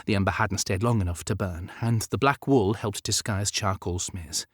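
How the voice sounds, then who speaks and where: A man's voice: fairly low pitch, moderate pace, dry recording, clean studio sound. A man narrating a period romance audiobook as scripted fiction.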